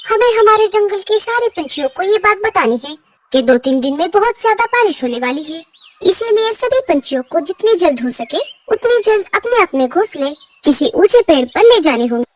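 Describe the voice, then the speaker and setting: A woman's voice: very high-pitched, very animated, moderate pace, recorded from a distance. A woman voicing a small, helpful female bird in a cartoon story, earnest and polite. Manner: earnest, respectful. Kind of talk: Hindi cartoon story dubbing.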